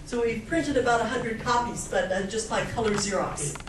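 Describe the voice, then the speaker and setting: High-voiced woman lecturing in an echoey room. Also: expressive, normal speed. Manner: explanatory, checks in with frequent "okay?" and "right?". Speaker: guest lecturer, anthropologist. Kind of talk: informal public lecture with slides. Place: indoor meeting room with audience. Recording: echoey room, distant mic, background noise.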